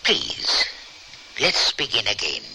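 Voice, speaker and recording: An actor playing a solemn spirit medium doctor leading a seance, speaking slowly and formally in old-time radio drama style. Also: male voice, fairly low pitch, wildly swooping pitch, gravelly, bright, forceful tone, a little hiss or hum, heavily acted, low-quality recording. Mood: solemn, entranced. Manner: slow, incantatory, formal.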